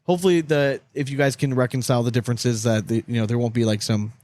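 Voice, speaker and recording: A man's voice: mid-range voice, fairly even intonation, fast talker, a little mellow, no room sound. A man hosting a sports podcast, chatting casually in an informal, slangy, conversational way. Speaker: podcast host.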